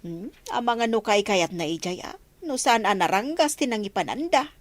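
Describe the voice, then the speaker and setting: A woman's voice: medium pitch, animated, moderate pace, dry recording, natural voice. Supporting role: actress as matter-of-fact village woman, mid-range voice, close dry sound, opinionated. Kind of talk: radio drama acting.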